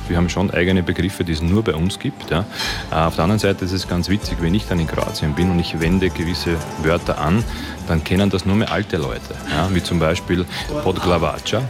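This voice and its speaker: A man from Burgenland explaining words in a lively way, tagging his sentences with little affirmations. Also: deep voice, a little fast, over music. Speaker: interviewee. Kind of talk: conversational interview.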